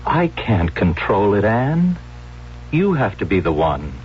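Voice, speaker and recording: Low, swooping, placating man. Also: big pitch swings, slow pace. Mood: grave, cautious. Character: evasive, placating man loved by an unstable woman. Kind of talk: 1970s radio drama acting. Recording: dull, noisy vintage radio recording.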